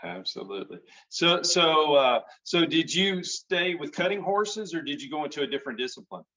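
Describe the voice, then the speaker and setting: A man's voice: fairly high pitch, expressive, normal speed, sharp tone. Enthusiastic male host, dull lo-fi sound. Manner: friendly, enthusiastic, with frequent restarts. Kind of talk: casual interview chat.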